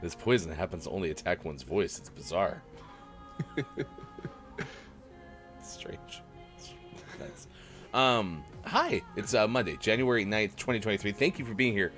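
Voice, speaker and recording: Low, slightly rough-voiced male host. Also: lively intonation, unhurried, long pauses, fairly bright tone, soft background music. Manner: easygoing, self-mocking banter. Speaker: morning show host. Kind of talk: casual live-stream morning show chat.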